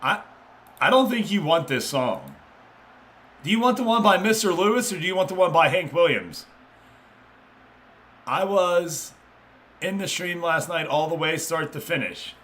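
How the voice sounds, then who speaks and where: A man's voice: fairly high pitch, lively intonation, measured pace, pauses often, a bit bright. A man hosting a game livestream, chatting casually with viewers and commentating on matches in an informal way.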